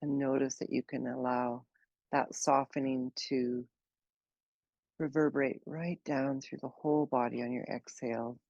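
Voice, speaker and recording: A woman leading a guided meditation, speaking slowly and gently in an unhurried way with long pauses. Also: rumbling low, little pitch movement, dry recording, lo-fi sound. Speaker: meditation teacher.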